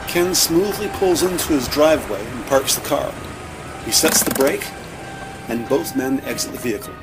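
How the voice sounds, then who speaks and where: A man narrating an audio drama from a script, describing scenes in measured present-tense storytelling. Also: mid-range voice, lively intonation, poor audio. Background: music.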